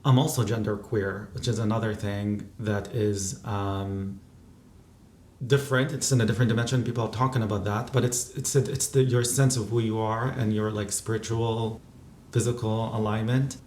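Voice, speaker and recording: A man's voice: lowish voice, steady tone, moderate pace, darkish tone, echoey room. A man from Qatar in his thirties, answering interview questions thoughtfully, with fillers and restarts. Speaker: interview guest, physician and activist.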